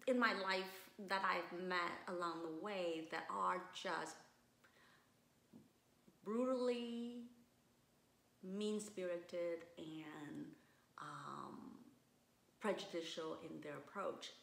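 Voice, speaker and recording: A woman from Vietnam, a guest in a casual interview, speaking thoughtfully with many restarts and pauses. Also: fairly low pitch, steady tone, creaky, a bit bright. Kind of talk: casual conversation. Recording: roomy echo.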